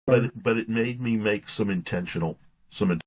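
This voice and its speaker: A man with a fairly low voice talks as a guest in a casual interview. He speaks reflectively and haltingly, feeling his way through his thoughts. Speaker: guest author being interviewed.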